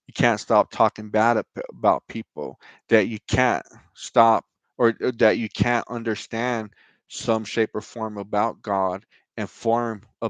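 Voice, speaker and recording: A man's voice: on the low side, no echo. A man hosting his own online show, talking informally and emphatically about scripture and his own grievances, with many "right?" and "you know" asides. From United States. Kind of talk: informal religious talk to camera.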